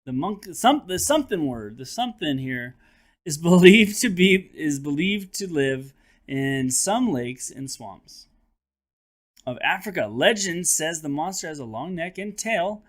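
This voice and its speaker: A man who teaches third grade reads a children's nonfiction book aloud in an expressive, teacherly manner, addressing his young listeners directly. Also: fairly high pitch, measured pace.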